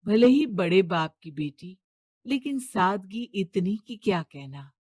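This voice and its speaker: A woman's voice: medium pitch, lively intonation, slow pace, darkish tone, slightly rough, dry recording, studio quality. A woman narrator giving scripted narration for a cartoon moral story.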